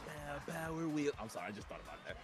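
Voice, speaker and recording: A man with a New York accent, hosting a charity stream and excitedly co-commentating a game with slang and repeated words. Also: a little high, very fast, over loud music.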